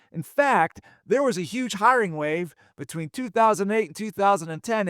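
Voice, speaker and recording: A man, a former air traffic controller explaining things in a steady, plainspoken teaching tone. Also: high-pitched, no echo, clean studio sound. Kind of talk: explainer talk to camera.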